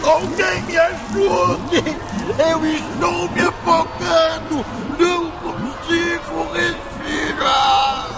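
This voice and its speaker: An actor playing a tormented, choking soul in a radio melodrama, shouting desperately amid crowd noise. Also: male voice, very high voice, fairly even intonation, extremely slow, vocal fry, long pauses, over loud music, heavily acted. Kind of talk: melodramatic radio drama acting. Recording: noisy, crowded bed of background sound.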